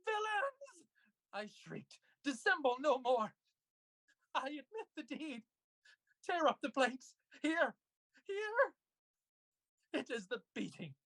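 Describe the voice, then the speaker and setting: A man performing an unhinged, nervous murderer's confession as a theatrical gothic horror monologue, intense and halting, with a husky voice. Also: extremely high pitch, bright, forceful tone, dry recording, voice pushed up, full character voice. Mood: frantic, tormented. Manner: intense, halting, melodramatic delivery with sudden swells. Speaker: stage actor performing a monologue. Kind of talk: theatrical dramatic reading of gothic horror tale.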